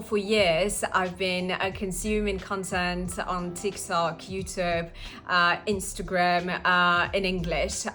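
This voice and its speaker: A woman's voice: on the low side, fairly bright tone. A young woman whose first language is Russian, explaining language-learning habits in a casual vlog with frequent "uh" fillers. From Russian-speaking. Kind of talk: casual vlog talk to camera.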